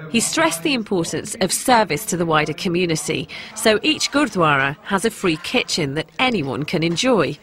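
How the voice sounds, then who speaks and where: A woman's voice: fairly low pitch, fairly bright tone. A woman with an English accent presenting a personal documentary, in narration and to camera. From England.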